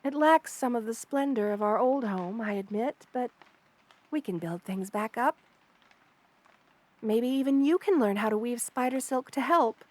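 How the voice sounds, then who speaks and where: A woman playing a loving, protective spider queen in an ASMR roleplay, speaking tenderly and with gentle regal poise. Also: fairly high pitch, lively intonation, a little slow. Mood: pleased, fond. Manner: tender, nurturing, gently regal, addresses listener with endearments. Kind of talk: ASMR roleplay, intimate character acting.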